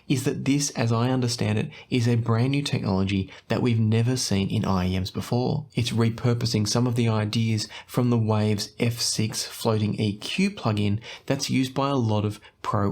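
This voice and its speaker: A man's voice: medium pitch, a little mellow. A man presenting an explanatory product review as the host of an audio channel.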